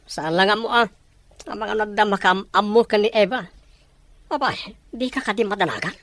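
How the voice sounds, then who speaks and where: A woman's voice: mid-range voice, moderate pace, a bit bright, no echo, close to an everyday voice. A woman acting a suspicious, dramatic character in an Ilocano-accented radio family melodrama. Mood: anxious.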